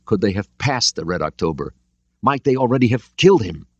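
A man's voice: lowish voice, big pitch swings, a little fast, dry recording. A man doing a cold, aggressive Soviet hunter captain. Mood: cold, determined. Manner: cold, clipped commands. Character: aggressive young male Soviet attack sub captain.

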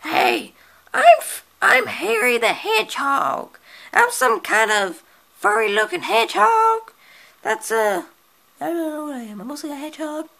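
A small, friendly hedgehog voiced by a performer with an extremely high, squeaky voice that has a gravelly edge. He rambles and stumbles over his words and keeps restarting his sentences. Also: sing-song, measured pace, bright, forceful tone, exaggerated voice. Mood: cheerful. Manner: squeaky, rambling, fumbling speech.

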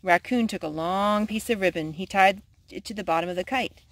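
A woman's voice: medium pitch, brisk, no echo. A woman reading a children's picture book aloud at storytime. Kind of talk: read-aloud storytime narration.

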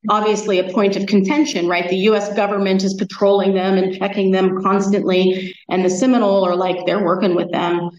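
A woman's voice: mid-range voice, level tone, a little fast, hardly any pauses, darkish tone. A woman giving an informal history lecture in a conversational, colloquial storytelling way. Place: meeting room at a society talk. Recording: dull, echoey room, distant microphone.

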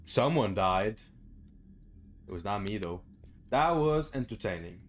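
Low-voiced young man playing games. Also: lively intonation, a little slow, slight background noise. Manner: sweary, muttering to himself. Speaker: gaming video host. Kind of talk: casual let's play commentary. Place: small room indoors at home.

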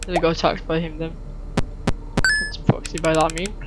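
A woman's voice: low-pitched, steady tone, moderate pace, heavy background noise. A woman giving casual gameplay commentary in an offhand, muttering way. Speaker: gamer. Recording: loud game audio and music behind. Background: video game music and sounds.

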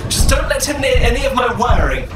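Dry, precise android for sci-fi drama; lively, exasperated delivery over music. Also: male voice, very high voice, a little fast. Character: fussy, exasperated male android, logical and dry. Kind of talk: science-fiction audio drama acting. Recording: echoey, music behind.

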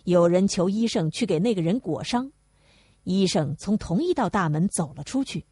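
A woman's voice: mid-range voice, a little mellow, dry recording. A woman reading a novel aloud as scripted audiobook narration.

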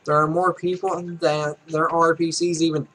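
A man's voice: on the high side, little pitch movement, moderate pace, fairly bright tone. A man in his twenties reading game text aloud with casual, joking, self-mocking commentary. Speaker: Let's Play streamer. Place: small room at home.

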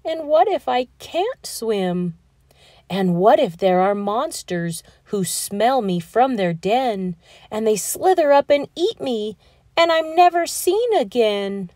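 A woman voicing a small, timid young boy penguin chick in a children's storybook read-aloud, anxious at first and then squealing with excitement. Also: a little high, slow, no echo, studio quality. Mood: frightened.

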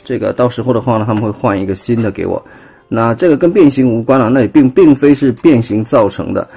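Dark husky man explaining toy steps. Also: mid-range voice, fast. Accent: Taiwanese Mandarin. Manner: explanatory, filler-heavy, pointing out parts step by step. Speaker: toy reviewer. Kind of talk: casual hands-on toy demonstration. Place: indoors at a table. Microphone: phone.

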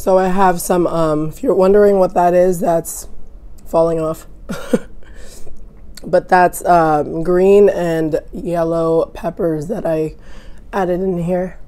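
A young woman with a sick, raspy, hoarse voice, talking slowly and casually between bites with some whispered asides. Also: fairly low pitch, fairly even intonation, dark tone. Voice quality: raspy, losing her voice. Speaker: mukbang host. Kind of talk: casual eating show chat. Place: home room, indoors.

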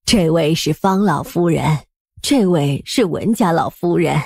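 Woman acting a husky, unhurried old dowager. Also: deep voice, a little mellow, dry recording, studio quality, heavily acted. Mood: amused, genial. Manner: unhurried, indulgent. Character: kindly elderly dowager, shrewd and affectionate. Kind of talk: audiobook drama acting.

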